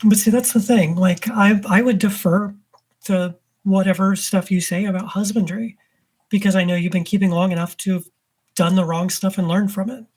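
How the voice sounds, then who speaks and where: A woman guesting on a podcast, speaking in a flat monotone and going on at length about her passion topic. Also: mid-range voice, moderate pace, slightly rough. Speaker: guest arachnologist. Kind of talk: casual podcast chat.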